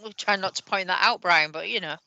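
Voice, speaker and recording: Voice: mid-range voice, fairly quick, gravelly, pushed and bright, dry recording, highs cut off. A quiz player bantering playfully with the hosts on a call. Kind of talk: casual chat on a video call.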